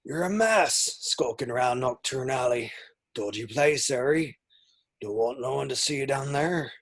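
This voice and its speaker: A man performs a gruff giant groundskeeper in a table read. His voice is husky and middling in pitch, and he talks in a protective, folksy, scolding way. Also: animated, measured pace.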